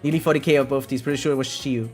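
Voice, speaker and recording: A man's voice: medium pitch, fairly even intonation, very fast. Rambling male streamer over music. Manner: rambling, repetitive, slangy and profane; frequently tags sentences with "right?". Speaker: variety game live streamer. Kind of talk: casual chat with live stream viewers.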